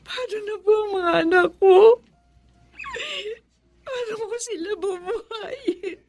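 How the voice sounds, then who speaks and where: High-voiced emotional Filipino mother. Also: slow, music in the background, heavily acted. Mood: weak, sorrowful. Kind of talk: Tagalog radio drama acting.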